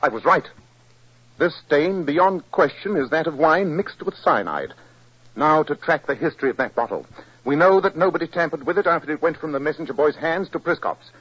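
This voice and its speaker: An actor playing a self-assured British gentleman detective in a clipped, precise 1940s radio style. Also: a little high, animated, moderate pace, husky. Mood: brisk, intent. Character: brisk, keen-minded English gentleman detective, self-assured. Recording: dull, roomy 1940s radio recording.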